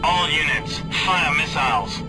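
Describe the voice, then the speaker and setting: A commanding male military officer in a space game. He is stern and forceful, his voice pitched a bit high. Loud music runs underneath. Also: animated, unhurried, poor audio. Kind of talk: game cutscene acting.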